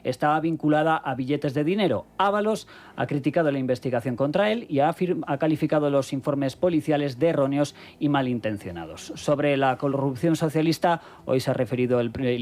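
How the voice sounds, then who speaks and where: A man's voice: mid-range voice, a little fast, darkish tone. A man from Spain reading news items and introducing clips on a radio news show.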